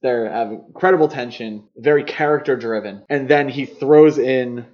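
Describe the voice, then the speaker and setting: Man, mid-pitched voice, podcast co-host, casual opinionated film talk, slightly muffled lo-fi recording. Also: normal speed. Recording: slightly dull lo-fi podcast audio.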